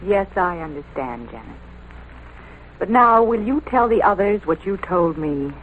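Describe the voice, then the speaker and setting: An actress playing a sympathetic, world-weary newspaper reporter in an old-time radio drama, gentle and halting. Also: female voice, lowish voice, very animated. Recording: tinny, slightly hissy old radio.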